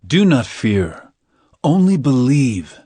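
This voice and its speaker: A man playing a calm, authoritative teacher and healer in a dramatized Bible reading, deliberate and commanding. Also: mid-range voice, slightly rough, dry recording, exaggerated voice. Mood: assuring.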